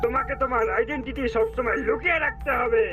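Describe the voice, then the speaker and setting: A stern alien commander in a cartoon. The voice sits very high and comes through a muffled, lo-fi radio line. Music plays clearly behind it. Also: male voice, fairly even intonation, fast. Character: alien commander giving orders.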